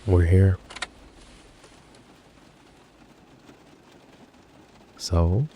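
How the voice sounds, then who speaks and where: A man playing a possessive, protective crime-family husband in an intimate boyfriend roleplay, speaking in a gruff, wry, low-key murmur. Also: slow, warm tone. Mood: gruff, affectionate.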